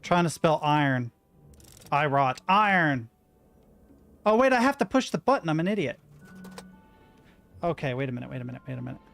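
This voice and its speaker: A man's voice: a little high, sing-song, normal speed, creaky, long pauses, a bit bright, soft background music. A young man thinking aloud as he plays a video game at home, muttering to himself. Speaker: gaming streamer. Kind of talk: let's play commentary. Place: room at home with a window and a rattling door.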